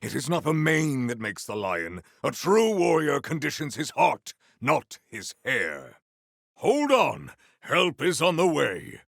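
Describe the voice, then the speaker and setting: An actor playing a formal, valiant, earnest warrior hero in a video game, with stately, theatrical diction. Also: male voice, a little high, wildly swooping pitch, slow, creaky, a bit bright, no echo, clean studio sound, exaggerated voice. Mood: bold, commanding. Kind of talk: scripted video game character lines.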